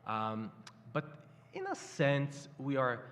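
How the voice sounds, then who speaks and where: An older man from Poland with a Polish accent, speaking haltingly as a guest at a live panel. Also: medium pitch, wildly swooping pitch, fairly bright tone. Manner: halting, many fillers and restarts. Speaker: campaign guest. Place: stage in a hall before a live audience. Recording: hall reverb.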